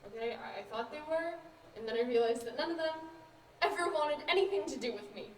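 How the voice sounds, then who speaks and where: A lonely, defensive young woman in a stage play, played by a student actress. Her voice is high and sounds distant in a roomy, echoing space. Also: animated, fairly quick, a little hiss or hum. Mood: guarded, hurt. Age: young adult. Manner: guarded, defensive. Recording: echoey room, far from the mic.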